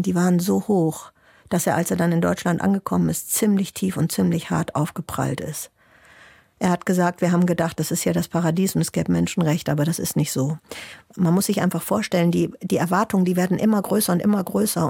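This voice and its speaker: A woman reporter recounting her reporting in a calm, reflective way, as a guest on a podcast. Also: on the low side, creaky, breathy edge, no room sound, studio quality. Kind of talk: podcast conversation and narrated reportage.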